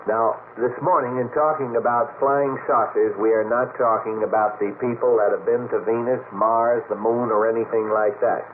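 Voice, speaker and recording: A thin, narrow-band old radio recording with noise carries a male talk host of medium pitch. He addresses the late-night panel deliberately, leaning on key words and repeating them for emphasis. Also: steady tone. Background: clear hiss and noise.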